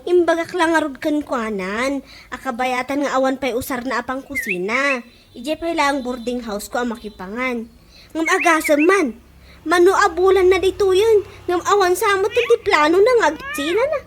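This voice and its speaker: A woman's voice: sits high, lively intonation, normal speed, background noise. A woman with an Ilocano accent playing an exasperated boarding-house resident who grumbles about a freeloading friend in a comedic radio drama. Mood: exasperated, griping. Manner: grumbling, complaining, exclamatory.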